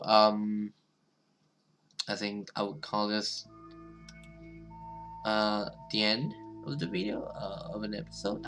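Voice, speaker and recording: A man's voice: on the low side, a bit bright. A man doing let's-play commentary and reading game text aloud in an informal, hesitant way. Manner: informal, hesitant, alternates reading narration with reactions. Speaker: gaming video host.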